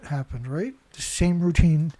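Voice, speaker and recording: Male commentator, rambling and indignant, dark tone, dry close sound. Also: a little high, unhurried, no echo. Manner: rambling, indignant, many restarts and "right?" tags. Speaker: commentator reading news. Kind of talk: casual monologue reading headlines with commentary.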